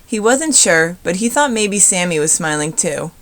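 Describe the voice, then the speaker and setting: A woman with a slightly low voice reads a picture book for children. Her delivery is expressive storybook narration. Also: moderate pace. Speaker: storybook reader.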